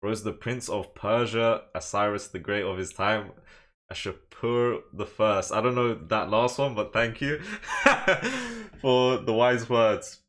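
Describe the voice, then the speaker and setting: A man's voice: lowish voice, unhurried. A man with an English accent, streaming a game casually, half thinking aloud to himself and half chatting to his viewers. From England. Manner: muses moves half to himself, chats to viewers. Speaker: live streamer playing online chess.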